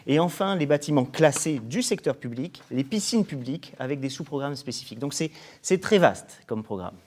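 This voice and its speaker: Higher-voiced Frenchman giving a formal explanation. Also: lively intonation, normal speed, a little mellow. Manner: formal, explanatory, enumerating in lists. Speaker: programme coordinator being interviewed. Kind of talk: promotional interview presentation.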